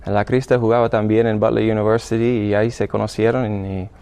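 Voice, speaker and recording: A man's voice: fairly low pitch, little pitch movement, warm tone, clean tone. American man, hesitant Spanish. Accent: American English. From Illinois, United States. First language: English. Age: 30s. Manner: halting, many restarts. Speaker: seminarian guest. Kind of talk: radio interview testimony.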